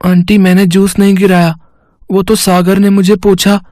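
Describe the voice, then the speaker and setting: A man's voice: sits high, little pitch movement, normal speed, a little mellow, no room sound, studio quality, close to an everyday voice. Timid poor little boy, played by a man. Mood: timid pleading. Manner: pleading, meek. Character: timid, innocent poor young boy, maid's son.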